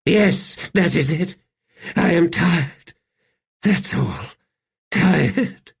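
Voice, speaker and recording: A man voicing a young emperor in an audiobook, imperious yet fragile and weary, with a halting, strained delivery. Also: fairly high pitch, expressive, creaky, breathy edge, pitched above the performer's own voice, exaggerated voice. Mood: weary despair. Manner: halting, strained, swinging between command and fragility. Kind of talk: audiobook character acting.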